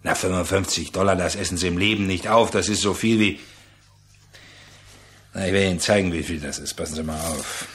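An actor in a German radio play playing a pushy, jovial, slippery trader who wheedles in a chatty, condescending way. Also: male voice, deep voice, fairly quick, fairly bright tone, a little hiss or hum. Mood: wheedling.

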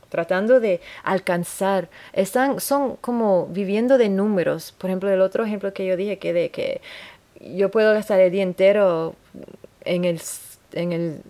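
Woman host, non-native Spanish speaker, slightly low voice, earnest and halting. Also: darkish tone. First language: not Spanish. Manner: earnest, restarts and searches for words. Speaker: podcast host. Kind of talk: solo podcast monologue.

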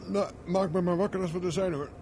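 An actor playing a captive private investigator in a 1970s radio drama, wry and cheeky, answering with dry nonchalance. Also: male voice, sits high, steady tone, quick pace, darkish tone. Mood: drowsy, sardonic. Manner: dryly sardonic, nonchalant. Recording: faint noise on old radio recording.

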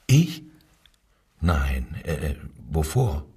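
An actor playing a nervous, evasive, husky-voiced working man under interrogation in a German radio crime drama, hesitant and anxiously deferential. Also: a bass voice, big pitch swings, extremely slow, a little mellow, distant mic, exaggerated voice. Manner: hesitant, stammering, short evasive answers.

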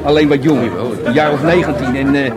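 An actor playing a jovial, bawdy, rambling party host pushing drinks in a radio drama. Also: male voice, on the high side, steady tone, a little fast, close to an everyday voice. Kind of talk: naturalistic radio drama acting. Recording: loud music and party crowd.

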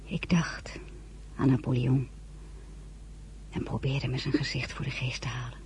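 An actress playing an earnest, tender young woman who tells her own story in a radio drama, hushed and intimate. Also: rumbling low, fairly even intonation, measured pace, whispered. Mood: somber. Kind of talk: dramatic first-person narration, radio acting.

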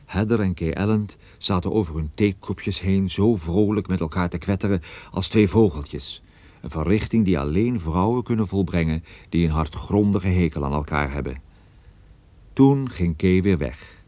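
Man acting as deep, dry detective narrator. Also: moderate pace, mellow, dry recording, natural voice. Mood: dry, sardonic. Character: dry, sardonic male detective narrating his own story. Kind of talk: scripted first-person narration in a radio play.

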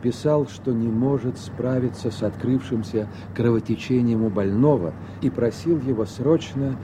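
A man reading scripted radio drama narration in a measured, literary storytelling manner. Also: medium pitch, background noise.

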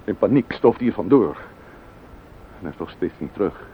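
Deep, bitter Dutch widower in a radio play. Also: male voice, lively intonation, normal speed. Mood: agitated grief. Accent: Netherlands Dutch. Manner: formal, cutting, emphatic. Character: bitter, grieving neighbour, an indignant widower. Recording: dull, noisy old radio play recording.

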